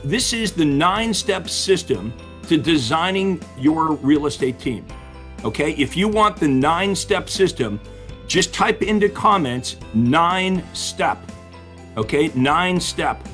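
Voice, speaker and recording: A man's voice: fairly high pitch, animated, a little slow, fairly bright tone, faint music behind. A middle-aged man coaching real estate agents in an upbeat way, often asking the audience questions, while his voice is giving out. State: losing his voice. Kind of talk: webinar-style coaching talk.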